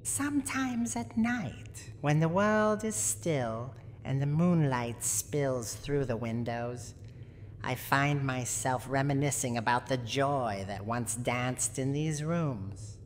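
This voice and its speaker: In a long, noisy hall reverb, a cranky old granny villain with a very low voice. She speaks haughtily and theatrically, as if from a horror game audio drama, with pitch that swings widely. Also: lively intonation, full character voice. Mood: sorrowful, confiding. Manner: menacing, haughty, slow and theatrical. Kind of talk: dramatic scripted roleplay monologue, ASMR audio drama. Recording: long hall reverb, audible background noise.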